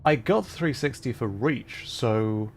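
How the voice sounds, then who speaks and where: A man hosting a livestream, giving casual, halting gameplay commentary as he thinks aloud. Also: mid-range voice, animated, a little hiss or hum. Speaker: livestream host playing a video game.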